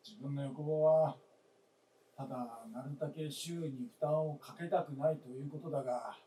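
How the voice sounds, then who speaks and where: An actor playing a stubborn, weary old father in a radio drama, speaking gruffly with resignation. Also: on the high side, steady tone, normal speed, dark tone, far from the mic, natural voice. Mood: weary, resigned. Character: stubborn, weary old father living alone, hard of hearing. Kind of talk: live radio drama acting. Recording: roomy stage recording from afar.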